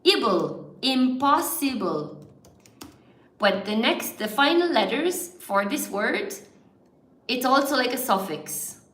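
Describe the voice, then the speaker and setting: A woman's voice: high voice, very animated, takes it slowly, a bit bright. An Irish woman, an English teacher, hosting a live word game for her viewers, chatty and encouraging, laughing often and greeting people by name. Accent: mild Irish, largely neutral. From Ireland. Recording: echoey room.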